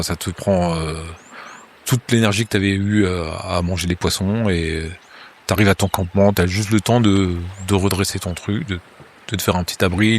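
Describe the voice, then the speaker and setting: A man running a tabletop horror game as game master, narrating scenes to a player in a halting way with many hesitations. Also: sits low. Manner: hesitant, many filler sounds and restarts; second-person scene narration.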